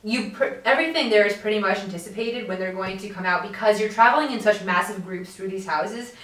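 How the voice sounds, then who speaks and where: A young woman co-hosting a casual podcast, telling stories in an animated way with lots of fillers. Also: mid-range voice, fast talker, distant mic. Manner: animated storytelling, many fillers. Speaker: podcast co-host. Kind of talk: casual chat. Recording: echoey room, voice off-mic.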